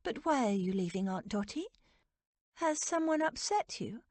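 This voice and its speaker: A woman voicing a caring, decisive young English lady with a brisk, practical manner in a storybook reading. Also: a little high, very animated, a little mellow, dry recording, pitched above the performer's own voice. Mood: concerned.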